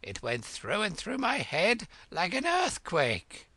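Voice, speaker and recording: A man voicing a fussy, excitable storybook king who speaks in slow, emphatic phrases. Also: sits high, slightly rough, no room sound, pitched above the performer's own voice, full character voice. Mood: indignant.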